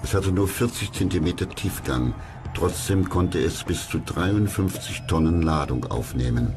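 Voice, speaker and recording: Very deep-voiced male documentary narrator. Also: lively intonation. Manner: measured, factual. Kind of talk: scripted history documentary narration. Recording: clear music under the voice.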